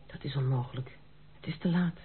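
A woman's voice: very deep voice, fairly quick. An actress playing a proud, independent young noblewoman in love, speaking with earnest, formal diction in a Dutch radio play. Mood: resigned, downcast. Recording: muffled, narrow-band old radio recording.

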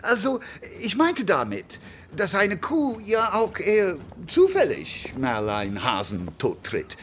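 An actor playing a frustrated, deferential navy admiral in a radio drama, wordy and easily flustered. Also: male voice, sits high, huge pitch swings, slow pace, fairly bright tone, a little hiss or hum, full character voice.

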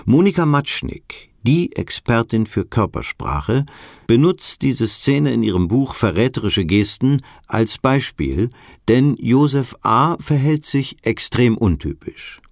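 An actor playing a sober documentary narrator in a radio drama, reading scripted reports in a measured, factual manner. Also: male voice, mid-range voice, sing-song, dry recording, clean studio sound.

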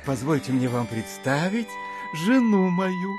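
Brief: syrupy, over-polite married landowner, unhurried, lilting delivery, music underneath. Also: male voice, sits high, sing-song. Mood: gushing, delighted. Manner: cloying, effusive, drawn-out courtesy. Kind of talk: audio drama acting.

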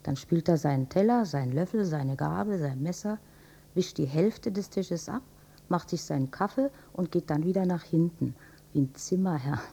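Husky, resigned older housewife. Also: female voice, fairly low pitch, expressive, moderate pace, dark tone, heavily acted. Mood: dry, resigned. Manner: dry, matter-of-fact, resigned. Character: resigned older housewife and mother, drily mocking her husband. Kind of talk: German radio drama acting.

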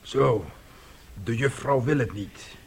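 A man's voice: medium pitch, slow pace, creaky, noisy background. An actor playing a middle-aged, proud and self-pitying father in a radio drama, speaking theatrically. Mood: baffled, scornful. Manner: theatrical, indignant, emphatic.

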